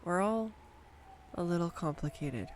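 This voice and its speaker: A woman voicing a nerdy, affectionate girlfriend who rambles excitedly about animal facts in an intimate audio roleplay. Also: fairly low pitch, a little slow, slight background noise. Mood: tender. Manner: enthusiastic, rambling, tangent-prone, giggly. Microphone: studio USB microphone.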